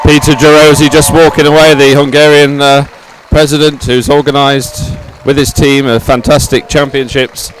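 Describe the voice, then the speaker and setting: A man with a slightly high voice hosts live coverage of an outdoor sporting event. He is effusive, often doubles up his words, and speaks in an improvised presenting style in the open air. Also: flat delivery, moderate pace, hardly any pauses, a little hiss or hum, rough recording. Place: outdoors at a regatta stadium.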